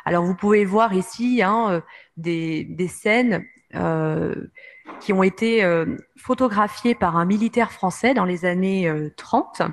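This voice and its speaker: French woman lecturing, halting delivery, many fillers, middle pitch. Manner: many hesitations, frequent "euh". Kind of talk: historical talk with slides.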